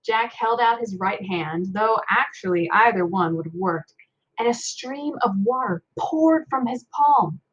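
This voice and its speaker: Very animated woman reading a children's story. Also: mid-range voice, a little slow, dull audio. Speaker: read-aloud narrator. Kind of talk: expressive children's book reading.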